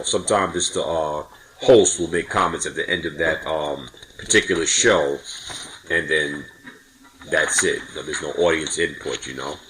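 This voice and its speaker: A man's voice: very deep voice, bright, forceful tone, slight background noise, poor audio. A man co-hosting a podcast, recalling things casually in a hesitant, rambling way. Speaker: podcast co-host. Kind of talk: casual conversational recollection.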